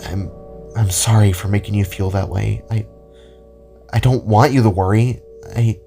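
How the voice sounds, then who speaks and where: A man voicing an earnest, idealistic young woman with powers in an audio drama, speaking haltingly and hopefully. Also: lowish voice, fairly even intonation, gravelly, mellow. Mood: apologetic. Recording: music under the voice.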